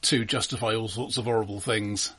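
A man co-hosting a casual film discussion, giving his opinions in a measured, qualifying way. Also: lowish voice, fairly even intonation, fairly bright tone, slightly rough. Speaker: co-host of a film discussion show.

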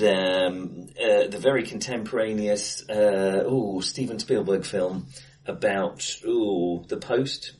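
A man with a mid-pitched voice talks through his opinions as a podcast co-host. He is hesitant and often revises himself mid-sentence. The room he is recorded in sounds bright and reflective. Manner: hesitant, thinking aloud, self-correcting. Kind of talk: casual film discussion. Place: acoustically bright room indoors. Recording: echoey bright room, face to face.

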